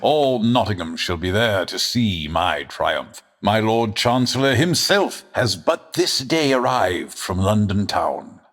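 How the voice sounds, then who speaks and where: An actor playing a gloating, self-assured medieval English sheriff, declaiming grandly in old radio drama style. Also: male voice, lowish voice, big pitch swings, slow, hardly any pauses, fairly bright tone, heavily acted. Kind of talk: old-time radio adventure acting, archaic English.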